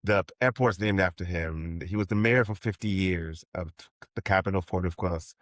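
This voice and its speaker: A man's voice: low voice, normal speed, fairly bright tone, no room sound, clean studio sound. A man in his fifties from Haiti, a novelist guest talking in a rambling way with many restarts and ums. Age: 50s. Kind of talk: interview conversation.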